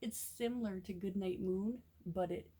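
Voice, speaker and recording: A woman reading a picture book aloud to young children with gentle, expressive asides that sometimes drop into a hush. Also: mid-range voice, normal speed, slightly rough. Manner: gentle, expressive, often drops into hushed whispered asides.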